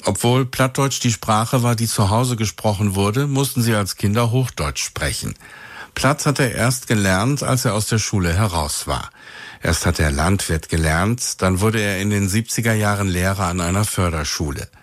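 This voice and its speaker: A man hosting a radio show, narrating clearly and evenly from a script. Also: lowish voice, normal speed, no room sound. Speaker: radio host.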